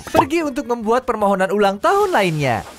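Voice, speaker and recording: A woman's voice: a little high, a little fast, very noisy. A woman voicing a cheerful, eager and helpful young boy steam engine in a children's cartoon dub. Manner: animated, expressive storytelling to young children.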